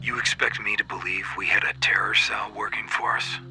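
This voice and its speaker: An actor playing a stern, sceptical military interrogator in a game cutscene, asking probing questions coolly and deliberately. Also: male voice, on the low side, steady tone, pushed and bright, slight background noise. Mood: cool, needling. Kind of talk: dramatic game cutscene acting.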